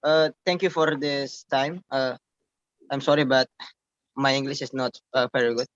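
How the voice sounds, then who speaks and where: A man's voice: mid-range voice, steady tone, moderate pace, fairly bright tone, no room sound. A man asking a question in an online webinar, polite and hesitant. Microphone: video call. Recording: dull lo-fi online call audio.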